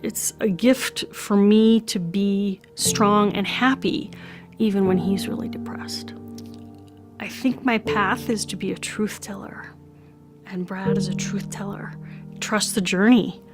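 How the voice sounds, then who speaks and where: A woman speaking slowly and reflectively in a documentary interview. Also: mid-range voice, fairly even intonation. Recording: music bed under voice.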